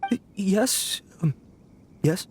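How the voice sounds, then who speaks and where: An actor playing a nervous male petty thief in a video game, halting and apologetic as he speaks. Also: high voice, expressive, darkish tone, slight background noise, full character voice. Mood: cowed. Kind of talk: English video game voice acting.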